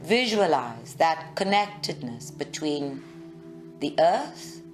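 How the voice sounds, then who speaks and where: Woman leading a guided meditation, really slow and soothing, lowish voice, faint music behind. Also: very animated. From South Africa. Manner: slow, measured, soothing delivery with long pauses. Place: group meditation session at a centre, recorded live.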